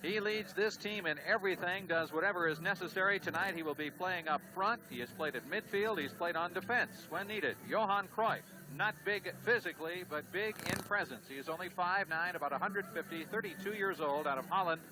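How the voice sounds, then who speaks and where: A man giving color commentary on a 1970s televised soccer match, talking in a relaxed, conversational way. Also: high voice, fairly quick, few pauses, a crowd in the background, rough recording. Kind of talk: 1970s TV sports broadcast.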